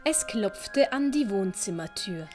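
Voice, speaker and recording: A woman's voice: mid-range voice, animated, slow pace, music in the background. A woman narrating a scripted audio drama as a storyteller.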